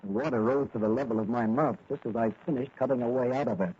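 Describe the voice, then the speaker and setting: An actor in a 1940s radio serial playing a righteous young rookie cop who fights crime in a mask. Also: male voice, mid-range voice, fast talker, warm tone, breathy edge. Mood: eager, friendly. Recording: dull, lo-fi old radio recording.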